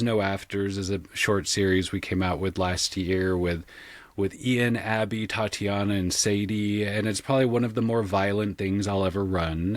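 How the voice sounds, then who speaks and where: Male podcast guest, low register, informal conversational delivery, very dry sound. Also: dry recording. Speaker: podcast guest, game master and sound designer. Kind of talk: casual conversational interview.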